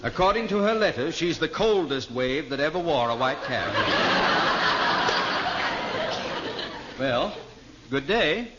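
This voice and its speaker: Man as a fussy, droll radio gentleman, very animated. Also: on the high side, normal speed, over a noisy crowd, close to an everyday voice. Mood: dryly pleased. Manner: fussy, formal, dry. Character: mild, proper, droll weather forecaster gentleman. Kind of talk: 1940s radio comedy acting. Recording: muffled, noisy old radio recording.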